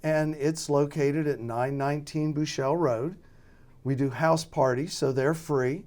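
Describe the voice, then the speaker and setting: An American man being interviewed as a radio drama producer, talking casually and haltingly as he thinks aloud. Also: a little high, steady tone, a little mellow.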